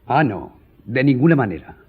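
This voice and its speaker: An actor playing a proud, composed gentleman journalist in a radio drama, speaking formally and firmly. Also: medium pitch, very animated, slightly rough, phone-quality sound. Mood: firm, offended. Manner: formal, measured, firm. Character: proud, composed male newspaper journalist, duel-ready gentleman.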